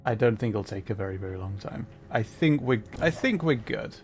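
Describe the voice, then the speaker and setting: A man hosting a livestream, giving casual, halting gameplay commentary as he thinks aloud. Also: on the low side, expressive, darkish tone. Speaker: livestream host playing a video game.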